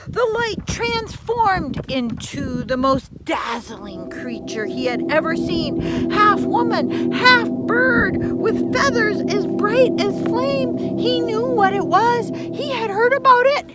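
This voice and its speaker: A woman's voice: very high voice, animated, over loud music. An older woman, a grandmotherly storyteller, reading to children in a dramatic, theatrical way with emphasized words. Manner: theatrical, slow and deliberate, drops into whispers, repeats words for emphasis. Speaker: storyteller and primary teacher.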